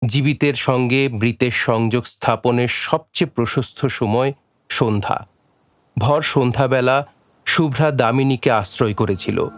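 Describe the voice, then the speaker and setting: Male narrator for a radio play: medium pitch, measured literary storytelling, faint music underneath. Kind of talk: scripted story narration for audio drama.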